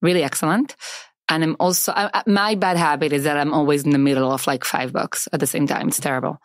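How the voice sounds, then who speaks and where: A woman with a slight accent of unclear origin co-hosting a podcast, talking casually and quickly with lots of fillers. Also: sits low, no echo, studio quality. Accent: ambiguous foreign accent. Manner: lively, quick, many "like" fillers. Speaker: podcast co-host. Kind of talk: casual chat.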